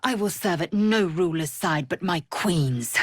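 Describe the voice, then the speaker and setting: A woman voicing a fierce, proud alien warrior woman in a video game, scornful and haughty, with clipped, formal phrasing and a husky, breathy voice. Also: on the low side, animated, unhurried, no echo, clean studio sound. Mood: stern, imperious. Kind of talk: video game character voice lines.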